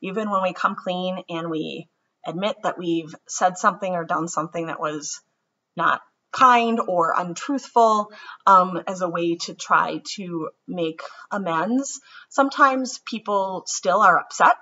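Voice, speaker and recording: A woman reading a children's picture book aloud with expressive storytelling, then gently reflecting on it. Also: fairly low pitch, unhurried. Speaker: storybook reader. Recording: dull lo-fi recording.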